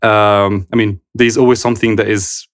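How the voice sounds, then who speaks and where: Young guy from switzerland, lowish voice, lots of ums and restarts, dry close sound. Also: level tone, fairly bright tone, no echo. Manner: halting, many fillers and restarts. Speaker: guest. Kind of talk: casual conversational interview.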